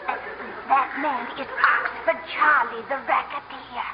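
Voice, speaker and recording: A woman's voice: high-pitched, steady tone, a little slow. An actress playing a genteel, fussy, kindly old lady in a 1950s radio comedy. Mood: prim, confiding. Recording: very noisy, dull old radio broadcast.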